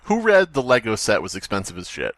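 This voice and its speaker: Animated, joking male podcast co-host. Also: fairly low pitch, brisk, no room sound. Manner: joking, profane, sarcastic. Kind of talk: casual comedic banter and critique.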